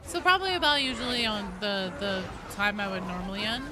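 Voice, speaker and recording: A woman's voice: mid-range voice, very animated, a little fast, crowd noise. A woman game streamer chatting casually and conversationally over gameplay, with some swearing. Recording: noisy game audio behind the voice.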